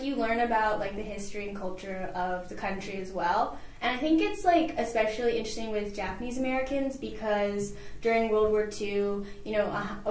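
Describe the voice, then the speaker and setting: A young woman from California chats easily as an interview guest, her answers loose and full of "like"s and little fillers. Her voice sits in a middle register, and the recording carries a noticeable room echo. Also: medium pitch. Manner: chatty, many "like"s and fillers. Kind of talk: casual interview chat.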